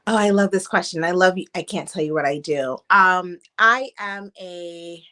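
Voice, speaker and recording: Bright-toned chatty woman guest. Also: fairly low pitch, a little slow. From Trinidad, raised in Canada and the US. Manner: lively, laughing, chatty. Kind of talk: casual interview chat. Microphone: video call.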